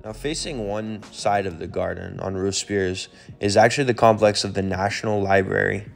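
Deep-voiced man narrating a travel vlog. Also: moderate pace, vocal fry, over music. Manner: informative, easygoing, with casual fillers.

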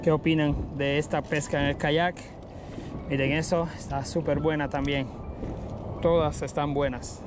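A man narrating his fishing from a kayak on an open bay, excitedly showing each catch to viewers through a head-mounted camera. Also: a little high, measured pace, background noise. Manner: excited, keeps addressing viewers as friends. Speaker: fishing vlogger. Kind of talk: casual fishing commentary to camera. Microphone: head-mounted action camera.

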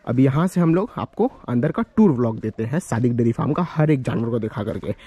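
A man's voice: mid-range voice, lively intonation, brisk, dark tone, husky. A young man from India, vlogging casually on a handheld camera, explaining things to viewers in a repetitive, instructive way. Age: young adult. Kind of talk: casual walking vlog, giving directions and farm tour. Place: village lanes outdoors and cattle shed. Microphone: handheld camera at arm's length. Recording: noisy handheld outdoor recording, ambient sound.

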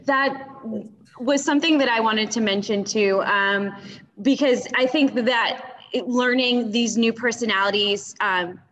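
A woman's voice: a little high, a little slow, a bit bright, dull audio. A young woman in a casual book club discussion on a video call, hesitantly feeling for her words and often saying "you know.". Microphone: video call computer mic.

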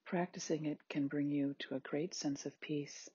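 A meditation guide with a husky, breathy, androgynous voice, giving gentle, unhurried body scan instructions in short spaced phrases. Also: mid-range voice, steady tone, no room sound, dull audio. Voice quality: very husky, breathy, at times near-whispered.

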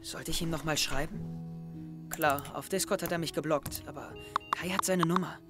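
A man's voice: on the high side, fairly even intonation, normal speed, lightly acted. Anxious, introspective teenage boy, played by a young man, for tense audio drama monologue over music. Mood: brooding. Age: young adult. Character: anxious, brooding teenage boy confiding his suspicions.

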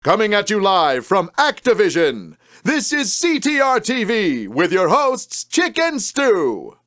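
A man playing a showy TV race-show announcer in a cartoon game, with a theatrical, drawn-out delivery. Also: sits high, huge pitch swings, fairly bright tone, dry recording, studio quality, full character voice. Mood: booming hype.

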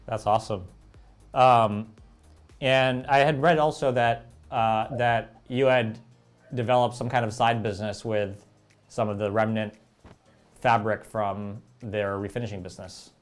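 A young man hosting an interview show over a video call, relaxed, with frequent "uh" fillers. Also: on the low side, unhurried, soft background music. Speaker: show host.

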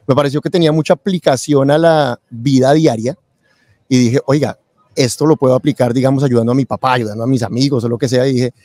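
A man's voice: medium pitch, steady tone, fast, dark tone, no room sound. A man, telling his own story casually as an interview guest. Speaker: interview guest, tax lawyer and accountant. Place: convention event booth, indoors. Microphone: close boom-arm microphone with headset.

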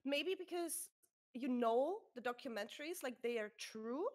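A young woman, a native German speaker with a German accent, co-hosting a casual podcast chat in a relaxed way, often pausing to search for words. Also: high voice, a crowd in the background. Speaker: podcast co-host. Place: bedroom streaming room with pink lights.

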